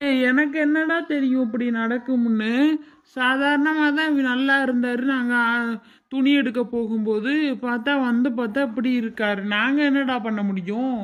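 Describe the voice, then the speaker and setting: Woman acting a high-pitched, worried eldest son. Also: moderate pace, close to an everyday voice. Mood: defensive. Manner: anxious, reproachful. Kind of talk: amateur family drama dialogue.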